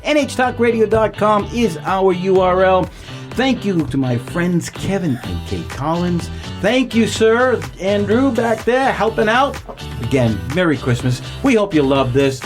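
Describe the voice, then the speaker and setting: A man with a high voice and lively intonation hosts a radio show. He chats informally, moving between reacting to his guest and addressing listeners directly. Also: a little slow, over music. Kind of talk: conversational radio hosting.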